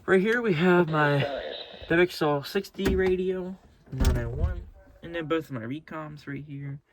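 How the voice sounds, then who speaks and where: A man's voice: on the high side, a little slow, noisy background. An American man talking casually and unscripted as he shows off a collection, rambling and hesitant, often correcting himself. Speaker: radio collector showing off collection. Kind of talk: casual, unscripted show-and-tell. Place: indoors at home.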